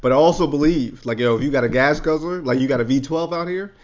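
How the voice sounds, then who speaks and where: A man hosting a casual podcast, talking in a relaxed, rambling way with lots of fillers. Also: on the high side, quick pace. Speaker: podcast host. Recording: dull lo-fi sound.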